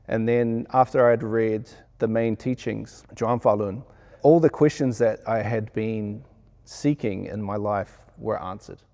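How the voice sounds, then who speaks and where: A man with a New Zealand accent speaks reflectively, as if answering an interview. His voice is mellow and relaxed, with a creaky, gravelly edge. He sounds earnest and measured. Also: lowish voice. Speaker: sound engineer being interviewed.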